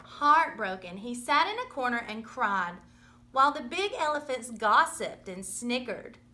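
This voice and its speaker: A woman reading a children's story aloud in an expressive, dramatic storytelling manner. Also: fairly high pitch, measured pace. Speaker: storybook reader.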